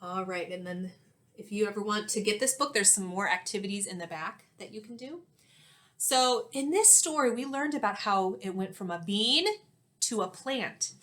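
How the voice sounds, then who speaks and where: A woman in her thirties speaks as an elementary school teacher leading a read-aloud for young children. Her mid-pitched voice moves up and down in an animated way. She is clear and instructive, shaping her phrases expressively for little listeners. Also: normal speed. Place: small indoor classroom-like room.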